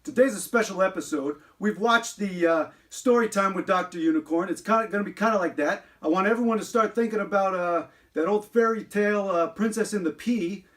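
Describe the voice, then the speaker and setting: A man voicing a chatty unicorn host of a children's-style story time, reading aloud earnestly with halting asides. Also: high-pitched, fairly bright tone. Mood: chatty, playful. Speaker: show host.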